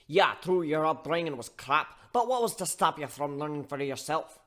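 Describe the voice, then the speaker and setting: A man's voice: a little high, expressive, moderate pace, sharp tone. Man putting on a blunt, scolding barkeep. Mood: blunt, lecturing. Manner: blunt, colloquial, lecturing with folksy slang. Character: gruff scolding barkeep, blunt tough-love older male mentor. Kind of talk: dramatic story reading.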